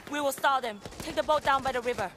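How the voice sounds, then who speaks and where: A woman's voice: high-pitched, fast talker, close to an everyday voice. A woman playing a resolute ally who gives urgent orders in a cinematic action game. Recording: clear gunfire noise behind voice.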